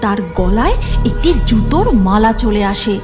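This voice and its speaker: A woman reading scripted cartoon story narration in an expressive, dramatic way. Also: medium pitch, normal speed, music in the background.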